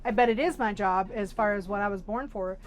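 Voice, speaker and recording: A woman's voice: mid-range voice, a little fast, soft background music. A woman psychic reader talking casually with her client.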